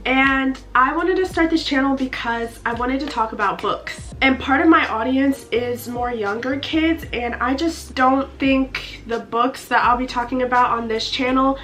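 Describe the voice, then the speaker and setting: A woman's voice: sits high, a little slow, few pauses, fairly bright tone, soft background music. A nineteen-year-old woman with a congested, stuffy voice, chatting bubbly and gushing in a casual book vlog. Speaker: book vlogger. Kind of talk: casual chat to camera.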